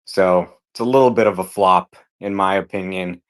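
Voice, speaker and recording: Man, panel guest, slightly low pitch, casual explainer, plain-spoken, rhetorical questions. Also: normal speed.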